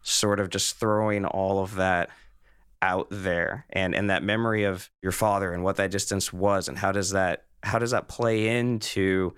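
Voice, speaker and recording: A man's voice: sits low, steady tone, a little slow, fairly bright tone, clean tone. A man hosting an interview podcast, speaking in an earnest, thoughtful, articulate way in reflective, personal conversation.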